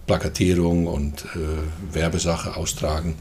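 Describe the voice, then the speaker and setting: Older Dutch-accented man, deep and halting, throat-clearing guest in casual chat over light noise. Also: level tone, darkish tone. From Netherlands. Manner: hesitant, many fillers and throat clearing. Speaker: guest, festival co-manager.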